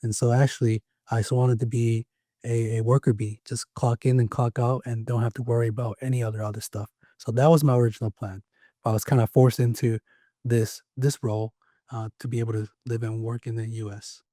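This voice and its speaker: A man from Taiwan, an attorney, telling his story as an interview guest in a measured way. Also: medium pitch, little pitch movement, warm tone, no echo, studio quality. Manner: measured, with frequent "you know" fillers. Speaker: attorney and law firm owner.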